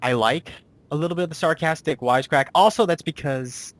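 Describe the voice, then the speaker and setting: A man's voice: fairly high pitch, a little fast, no room sound. A man hosting a casual interview as a writer and producer, talking in a rambling, self-deprecating way.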